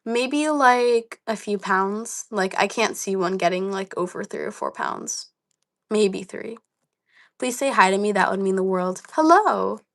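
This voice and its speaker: A woman's voice: mid-range voice, animated, measured pace, a bit bright. A young woman with a slightly dry voice, chatting casually on a livestream, reading comments aloud with lots of ums and likes. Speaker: livestream host.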